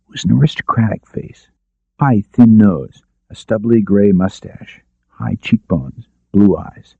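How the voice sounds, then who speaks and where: A man's voice: fairly low pitch, unhurried, gravelly, mellow, husky, no room sound, highs cut off. A man reading scripted narration of a crime novel as an audiobook narrator.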